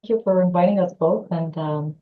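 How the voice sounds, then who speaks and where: A woman's voice: fairly low pitch, fairly quick, phone-quality sound. A woman from Lebanon, an assistant professor answering interview questions thoughtfully, with hesitant fillers.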